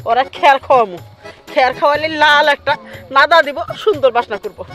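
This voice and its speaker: A woman voicing a cranky, excitable cartoon village mother with a squawking delivery and Bangladeshi accent. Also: high voice, fast. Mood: grumbling. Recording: loud music bed behind voice.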